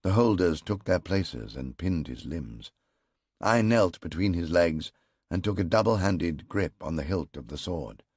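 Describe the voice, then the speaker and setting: A man narrating a first-person historical story for an audiobook in a slow, grave, measured way. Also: sits low, animated, a little mellow, slightly rough, no echo, studio quality.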